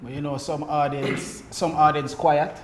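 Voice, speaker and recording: Higher-voiced Jamaican man, echoey room. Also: level tone, unhurried. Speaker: pantomime actor. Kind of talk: casual podcast group chat. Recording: echoey room with faint noise.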